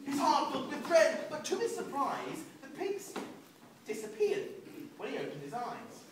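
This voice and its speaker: A man, a children's author, reading a rhyming story aloud in an expressive, sing-song rhythm at a book event. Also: very high voice, moderate pace. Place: large echoing room at a book event. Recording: echoey hall, distant mic, noisy.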